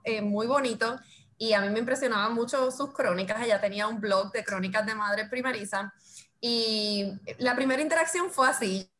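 A woman's voice: on the high side, fairly bright tone, slight background noise. A woman chatting casually as an interview guest. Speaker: interview guest, lawyer and mother. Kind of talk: casual chat.

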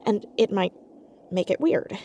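Caring awkward teen girl, bright voice with a husky edge, halting speech, naturalistic audio drama. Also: mid-range voice, animated. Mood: puzzled, guarded. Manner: hesitant, halting, stammering. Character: earnest, awkward, caring teenage girl amateur sleuth, hiding in fear.

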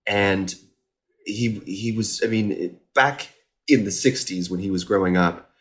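A man's voice: fairly low pitch, a little slow. An American man from Indiana, a podcast guest, telling personal stories casually and reflectively, often interrupting himself.

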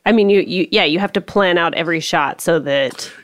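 A woman's voice: lowish voice, a little fast. A woman co-hosting a podcast, chatty, asking questions and laughing along with her guest. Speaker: podcast co-host, comedy writer. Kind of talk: casual podcast chat.

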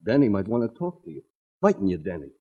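A man's voice: lowish voice, sing-song, fast. An actor playing a bullying, hot-tempered henchman in a 1940s radio drama, sneering and goading, on a dull old recording.

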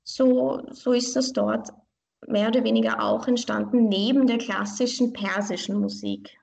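Earnest young woman, slightly gravelly. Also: a little high, moderate pace, a little mellow. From Vienna, Austria. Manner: thoughtful, earnest, restarts phrases while searching for words. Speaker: pianist and online piano teacher. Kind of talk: interview answers.

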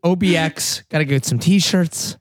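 A man hosting a casual group chat, his voice going hoarse, loose and swearing as he laughs. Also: on the high side, measured pace, no room sound, clean studio sound. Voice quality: husky. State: losing his voice. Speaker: podcast host. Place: wood-panelled vacation room.

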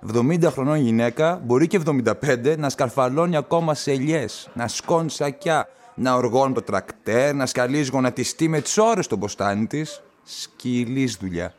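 Radio play villager man acting: medium pitch, colloquial, opinionated, gossiping local. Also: natural voice. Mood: admiring. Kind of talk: naturalistic radio drama acting.

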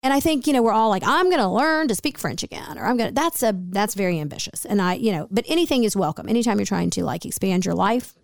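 A raspy-voiced woman in her forties, co-hosting a podcast in a chatty, rambling way full of fillers. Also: medium pitch, lively intonation, fast, few pauses, no echo, clean studio sound. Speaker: podcast co-host. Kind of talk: casual podcast chat.

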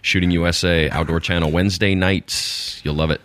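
A man's voice: low-pitched, fairly even intonation, brisk, fairly bright tone. A man appearing as a podcast guest, chatting casually with dry humor about his television show. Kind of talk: casual chat.

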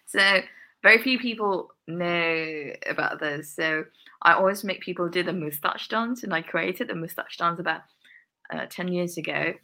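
A woman's voice: on the low side, a little slow, pushed and bright. A woman answering interview questions earnestly on a podcast, often breaking into laughter between phrases. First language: English. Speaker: guest, workplace diversity consultant.

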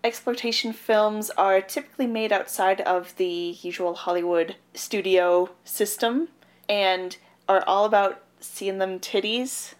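A woman's voice: mid-range voice, steady tone, a little slow. A young woman from Canada co-hosting a podcast, with an easy conversational delivery. She is blunt and wry when she talks. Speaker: podcast co-host. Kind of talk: casual podcast chat.

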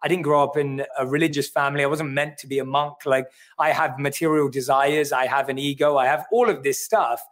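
A man with a London accent who talks through his ideas in an earnest, reflective way. His voice sits a little high for a man. He speaks as an author in a livestream chat. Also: fairly even intonation, a little fast, fairly bright tone. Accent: London English. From London, England. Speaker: author and guest. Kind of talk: livestream conversation.

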